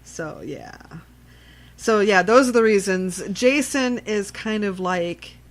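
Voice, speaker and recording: Middle-aged female podcast host, medium pitch, unscripted chat, explains and asks leading questions. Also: animated, takes it slowly. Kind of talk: casual unscripted chat about horror films.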